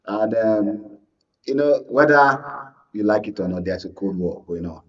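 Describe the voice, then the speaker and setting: A Nigerian man giving unscripted political commentary as a guest analyst, explaining in a halting way. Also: lowish voice, animated, recorded from a distance, lo-fi sound.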